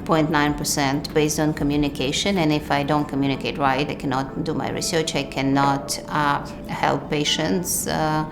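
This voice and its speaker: A middle-aged woman from Ukraine with a Ukrainian accent, speaking in a measured documentary interview with hesitant fillers. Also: sits low, flat delivery, background noise. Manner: measured, thoughtful, hesitant fillers, non-native grammar. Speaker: physician and researcher.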